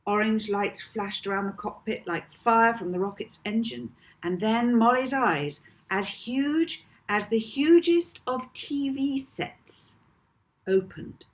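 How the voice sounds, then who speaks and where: A woman reading a children's novel aloud in a measured, theatrical way with deliberate emphasis. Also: on the high side. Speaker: author reading own novel. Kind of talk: dramatic audiobook narration of a children's story.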